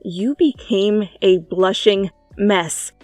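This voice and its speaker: A woman's voice: mid-range voice, slow, a little mellow, dry recording. A woman reading fanfiction as a channel host, with dramatic narration and goofy, self-mocking, casual asides. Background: faint music.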